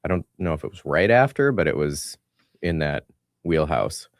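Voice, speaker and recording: A man's voice: very deep voice, expressive, normal speed, no room sound, studio quality. A man on a casual finance panel, laid-back and wry. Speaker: co-host.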